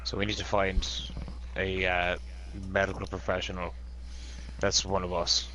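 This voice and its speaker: A man's voice: low voice, completely flat delivery, a little slow, sharp tone, a little hiss or hum, rough recording. A man playing a tabletop game, talking bluntly and swearing casually. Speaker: player.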